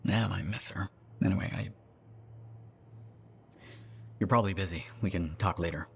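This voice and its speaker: An actor playing an earnest, bookish arcanist in a video game, speaking in a polite, well-spoken, reflective way. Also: male voice, low voice, fast, gravelly, slight background noise. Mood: wistful. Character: earnest, bookish male arcanist, former street kid. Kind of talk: game dialogue acting.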